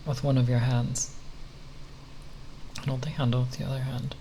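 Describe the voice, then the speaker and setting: A speaker giving an unscripted ASMR ramble in a halting, nerdy, hesitant manner with long pauses. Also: mid-range voice, little pitch movement, dark tone, rough recording. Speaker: ASMR content creator. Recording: faint background noise.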